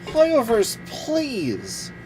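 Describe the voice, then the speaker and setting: A woman livestreaming a video game with casual, slangy, excitable commentary. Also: a little high, very slow. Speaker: game streamer. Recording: game music behind the voice.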